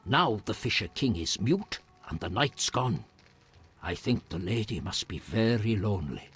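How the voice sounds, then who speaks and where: Raspy, expressive hermit sage. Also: male voice, lowish voice, faint music behind, heavily acted. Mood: reverent, wistful. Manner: formal, measured storytelling. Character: solemn, reverent male hermit sage of fantasy lore. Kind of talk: video game voice acting.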